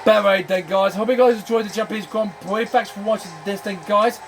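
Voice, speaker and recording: A man's voice: high voice, steady tone, fast talker, gravelly, soft background music. A man hosting a gaming channel, commenting on a racing management game while losing his voice, with a repetitive habit of saying "then" and "I'm afraid".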